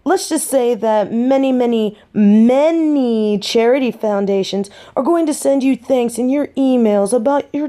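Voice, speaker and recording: A woman's voice: medium pitch, mellow. A young woman playing a defiant, foul-mouthed biker girlfriend in a scripted audio roleplay, with emphatic, dramatic delivery. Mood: coldly smug.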